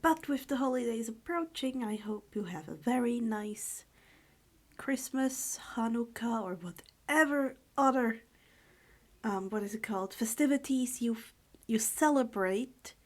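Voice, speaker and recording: Hushed, slow-paced woman storyteller. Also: a little high, lively intonation. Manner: hushed, subdued, keeping the voice down; halting, stumbling over words and correcting herself. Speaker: storyteller reading fan fiction aloud for a channel. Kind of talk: informal chat, then read-aloud narration.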